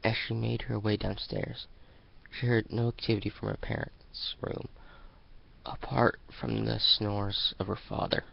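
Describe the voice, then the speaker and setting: A man narrates a story in a slow, very gravelly voice. He reads dramatically but haltingly, sometimes stumbling and restarting. The recording is dull and lo-fi. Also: lowish voice, level tone. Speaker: amateur fan fiction reader.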